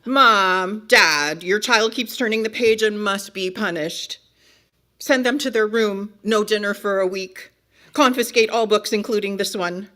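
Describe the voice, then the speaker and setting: A woman's voice: medium pitch, animated, a bit bright. A woman reading a picture book aloud as a frantic, bossy narrator who scolds and begs the reader in an exasperated, melodramatic way. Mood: exasperated, scolding. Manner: exasperated, melodramatic, emphatic pleading with drawn-out warnings. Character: frantic, bossy, pleading storybook narrator begging reader to stop. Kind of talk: dramatic children's picture-book read-aloud.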